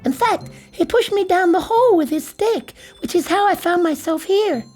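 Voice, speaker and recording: Man putting on a very high, polite little girl. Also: lively intonation, moderate pace, a little mellow, soft background music. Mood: polite, earnest. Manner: polite, earnest. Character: polite, well-mannered little girl Alice.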